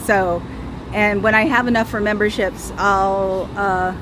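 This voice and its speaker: A woman with a high voice hosting a casual livestream outdoors, rambling and sarcastic as she talks straight to her listeners. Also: steady tone, unhurried, noisy background. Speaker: livestream host.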